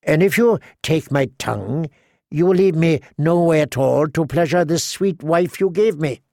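A man's voice: fairly high pitch, moderate pace, gravelly, a little mellow, dry recording. A man voicing a witty, bitter adult dwarf lord who speaks in a dry, mocking way.

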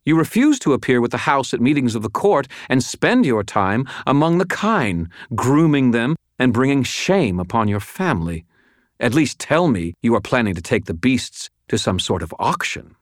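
A man acts out a haughty, sultry aristocratic woman for an audiobook. The voice is lifted high and swoops with sneering, venomous disdain. The recording is dry. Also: big pitch swings, moderate pace, no echo, close to an everyday voice. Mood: scornful.

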